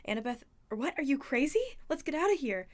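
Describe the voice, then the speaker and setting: A woman's voice: a little high, steady tone, fairly quick, a little mellow, voice pushed up, close to an everyday voice. A woman voicing an impulsive, alarmed young boy hero in a storybook reading. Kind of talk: audiobook character voice.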